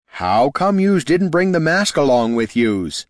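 Man as big oafish mercenary, unhurried, singsong pitch, coarse speech, storybook. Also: very animated, no room sound, studio quality. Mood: puzzled. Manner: slow, uncouth speech saying "yous". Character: big dim male thug, uncouth mercenary.

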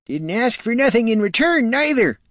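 A man voicing a gnarled, aggrieved old farmer with a high, strained rustic voice in an audiobook. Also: very animated, moderate pace, fairly bright tone, no room sound, clean studio sound, full character voice. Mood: wheedling. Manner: pleading, indignant outbursts. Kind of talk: audiobook character voice.

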